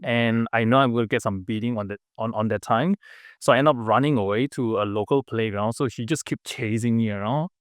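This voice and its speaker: A man from Malaysia telling his story as a podcast guest, earnest and halting, with many fillers and restarts. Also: mid-range voice, no echo, studio quality. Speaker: podcast guest, business founder. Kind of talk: casual interview storytelling.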